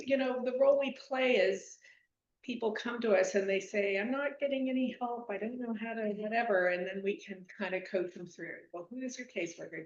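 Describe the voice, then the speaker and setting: A woman's voice: on the high side, echoey room, lo-fi sound. A woman volunteer with a mutual aid group asking questions at a community meeting, chatty and laughing lightly as she talks. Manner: chatty, laughs while talking. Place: meeting room.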